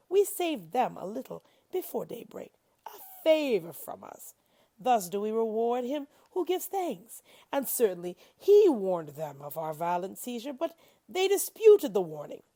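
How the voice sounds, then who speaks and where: A woman reads scripture aloud in a solemn, expressive style, deliberate and dramatic, with shifting emphasis and phrases that often fall to a hush. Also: on the high side, gravelly, no echo. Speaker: scripture reader. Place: studio.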